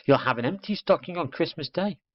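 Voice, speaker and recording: A bossy, proud little girl from a storybook who lectures as if everyone should know already, voiced by a male narrator. The voice is lifted well above his own low tone. The sound is muffled and lo-fi. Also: expressive, fairly quick, natural voice. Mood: explaining.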